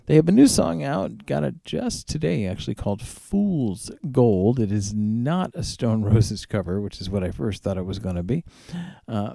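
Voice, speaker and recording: A man's voice: medium pitch, vocal fry, dark tone, no echo. A man hosting a music radio show, presenting and back-announcing songs in a conversational, informal way.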